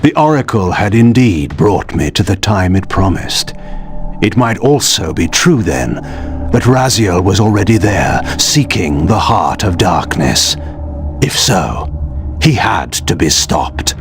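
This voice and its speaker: An actor playing a proud, aloof ancient vampire lord who speaks in a theatrical, deliberate way, as in dramatic video game narration. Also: male voice, deep voice, sing-song, full character voice. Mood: grim, resolved. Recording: music behind the voice.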